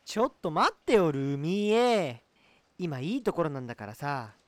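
Comedy radio drama role: excitable, smitten young man acted by a man, very animated intonation, dry recording. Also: fairly high pitch, moderate pace, dry recording. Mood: eager. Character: boastful, lovestruck, excitable young male buddy.

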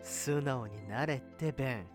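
A man's voice: medium pitch, a little slow, over music, natural voice. A man acting a smug, teasing, breezy young man in a radio drama. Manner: cocky, breezy.

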